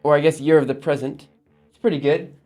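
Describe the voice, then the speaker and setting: A man's voice: on the high side, steady tone, fast talker, darkish tone, faint music behind. A man from Alabama hosting a live stream, chatting casually with viewers, rambling with frequent ums and laughs. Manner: rambling, reads chat aloud and answers, frequent ums and laughs. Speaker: livestream host.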